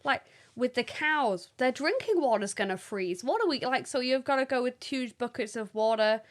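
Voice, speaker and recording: A woman's voice: high voice, normal speed. A woman in her thirties with a northern English accent, co-hosting a parenting podcast and chatting casually with lots of laughs. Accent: northern English, toned down. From northern England. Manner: animated, laughs often, acts out dramatic quotes. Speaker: podcast co-host. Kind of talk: casual chat.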